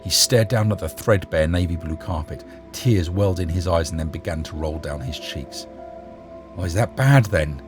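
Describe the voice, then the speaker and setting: A man reading a short story aloud as an expressive narrator. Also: low voice, normal speed, hardly any pauses, over music.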